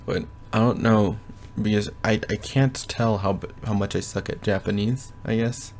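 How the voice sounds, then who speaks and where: A young man giving a casual, rambling progress update from his bedroom, often pausing to think aloud. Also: on the low side, fairly even intonation, darkish tone, a little hiss or hum. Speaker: language learner vlogger. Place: bedroom at home.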